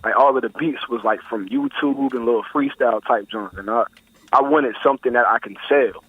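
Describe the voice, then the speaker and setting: A man's voice: mid-range voice, brisk, slightly rough, no echo. A nineteen-year-old man from Buffalo, New York, a rap artist talking about his music in a laid-back, slangy way as a guest on a phone interview. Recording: tinny phone line, dry.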